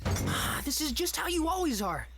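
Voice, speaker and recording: Upset nosy little boy from a game, really high n swoopy voice, busy sound behind. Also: sing-song, moderate pace, a crowd in the background. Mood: frustrated, pleading. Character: frustrated, inquisitive young boy. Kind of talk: video game voice acting.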